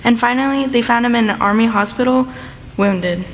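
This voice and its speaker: A woman's voice: on the high side, normal speed, close to an everyday voice. A young woman playing a proper, easily scandalised elder sister in a radio play, prim and reproving. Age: teens or young adult. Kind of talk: student radio play acting. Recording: reverberant hall, clear background noise.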